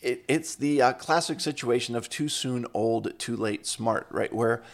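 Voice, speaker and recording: A man's voice: mid-range voice, a little slow. A man hosting a podcast interview, introducing his guest with upbeat enthusiasm. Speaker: podcast host. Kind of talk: casual interview.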